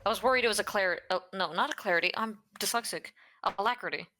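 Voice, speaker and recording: A woman's voice: medium pitch, fast talker, bright, forceful tone. A woman chatting casually as a player making a game character, playful and joking, often with short questions. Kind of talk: casual chat.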